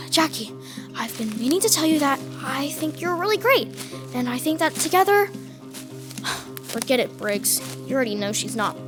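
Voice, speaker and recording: A woman's voice: high-pitched, sing-song, a little slow, music in the background. A woman playing an earnest, folksy small-town country kid in an audio horror drama. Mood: shyly tender.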